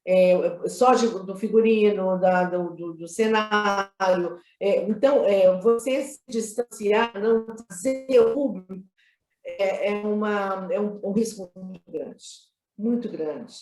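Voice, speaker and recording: Roomy echoey recording, woman, medium pitch, conversational interviewee, emphatic repetitions, frequent tag questions. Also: slow pace, a little mellow. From Minas Gerais, Brazil. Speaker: film director being interviewed. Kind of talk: conversational interview, explaining creative choices.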